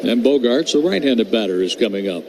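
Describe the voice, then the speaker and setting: A man doing radio play-by-play for a baseball game, reading stats and calls in a steady, measured way. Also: medium pitch. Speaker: play-by-play announcer. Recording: noisy broadcast audio.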